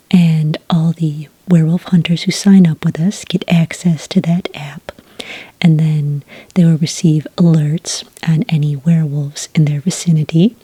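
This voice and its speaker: A woman playing a calm, polite agent who takes sighting reports in a soft-spoken ASMR roleplay, breathy and whispery, with gentle, patient questioning. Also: deep voice, no echo. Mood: calmly explaining.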